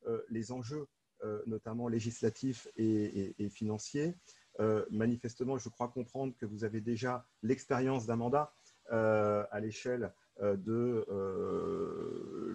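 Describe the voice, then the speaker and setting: A middle-aged or older man with a French accent from France, giving a formal online presentation as a consultant in a halting, measured way with drawn-out pauses. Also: lowish voice, gravelly, darkish tone, husky. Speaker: consultant giving a presentation to local elected officials. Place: small room, video call.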